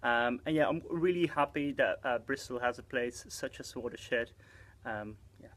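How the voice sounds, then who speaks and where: A man's voice: mid-range voice, steady tone, normal speed, a bit bright. A man from Belgium, a cinema box office supervisor, chatting casually about films with many fillers. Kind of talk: casual film chat.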